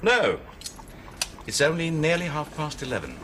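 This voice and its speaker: A formal, drily ironic young Swedish gentleman in a 1970s television period drama. He speaks with a Swedish accent and a bright, sharp tone, his pitch roaming widely. A faint hiss sits under the old television sound. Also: huge pitch swings, moderate pace. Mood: drily amused. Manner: precise, literal, drily ironic.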